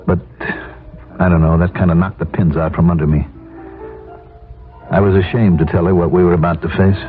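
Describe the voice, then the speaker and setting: Male actor for desperate, ashamed jobless husband, middle-aged, low register, 1970s radio drama, narrow tinny sound. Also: level tone, a little fast, lightly acted. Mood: bitter, ashamed. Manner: confessional, tense narration and dialogue. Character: desperate, ashamed middle-aged out-of-work family man narrating his downfall. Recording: tinny 1970s radio broadcast, music behind.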